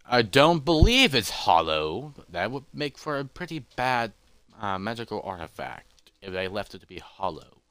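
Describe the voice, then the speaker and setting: A man's voice: on the low side, big pitch swings, normal speed, a bit bright, no echo. A man voicing an anxious, easily distracted elephant adventurer who mutters to himself with repeats and hesitations. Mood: pondering. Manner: fretful self-talk with repeats and hesitations.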